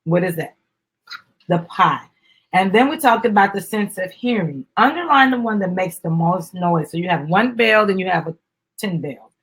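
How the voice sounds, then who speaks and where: A woman's voice: on the low side, lively intonation, normal speed, fairly bright tone, husky, rough recording. A woman chatting casually to viewers as she hosts a live stream and promotes a children's book. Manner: chatty, addresses viewers as guys.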